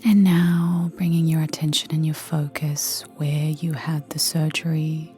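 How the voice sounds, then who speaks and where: Slow, whispery low-voiced woman guiding meditation. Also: level tone. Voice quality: soft, breathy, whispery. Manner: gentle, soothing, unhurried with long pauses. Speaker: meditation guide. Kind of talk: guided meditation, soft breathy near-whisper. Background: soft background music.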